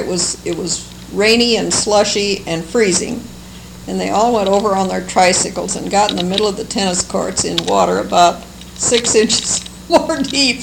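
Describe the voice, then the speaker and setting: An older American woman with a creaky, somewhat low voice shares memories in an unhurried, halting manner. She sounds like a longtime resident being interviewed for oral history. The amateur recording carries clear background noise. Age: older, seventies or above. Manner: unhurried, halting, fond recollection.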